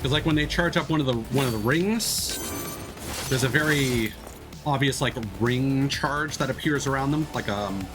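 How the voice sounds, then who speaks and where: A man's voice: mid-range voice, normal speed. A man live streaming a video game with casual, playful, joking commentary. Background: game music.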